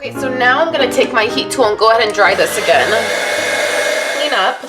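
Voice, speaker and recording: A woman with a slightly hoarse voice, chatting casually as she demonstrates card making at her craft table, rambling and apologetic at times. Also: a little high, animated, brisk, over loud music, poor audio. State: voice a little hoarse from allergies and little sleep. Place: home craft workspace, indoors.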